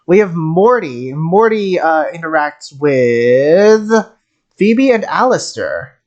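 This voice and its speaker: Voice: medium pitch, sing-song, slow, a little mellow, dull audio. Casual, rambling streamer commentating on a game.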